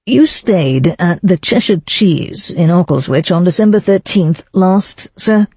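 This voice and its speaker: A reader voicing a calm, measured male chief inspector asking questions. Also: mid-range voice, slightly rough, dry recording. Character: steady, concerned police chief inspector, man. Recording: dull lo-fi, dry.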